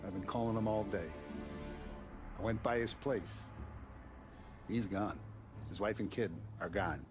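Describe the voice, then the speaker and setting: An actor playing a grave, commanding mafia boss in a video game cutscene, speaking in a measured, ominous way. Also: male voice, lowish voice, natural voice. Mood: grim, worried. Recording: music behind the voice.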